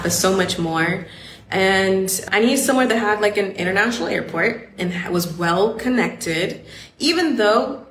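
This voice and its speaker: A woman's voice: lowish voice, a bit bright, a little hiss or hum. Chatty American woman in her thirties. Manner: chatty, laughing, lots of "like" asides. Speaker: podcast host. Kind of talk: casual chat. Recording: echoey street recording with faint ambience.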